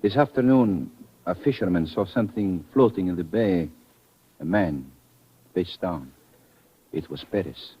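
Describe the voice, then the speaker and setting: An actor playing a formal, deliberate Latin American police lieutenant with a Spanish accent in an old-time radio drama. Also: male voice, low-pitched, noisy background. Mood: grave. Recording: dull vintage radio recording.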